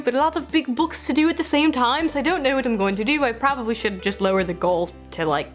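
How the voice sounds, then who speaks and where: A woman chatting casually as a book vlogger, playful and self-interrupting, gushing and repeating words. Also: medium pitch. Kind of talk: casual chatty vlog to camera.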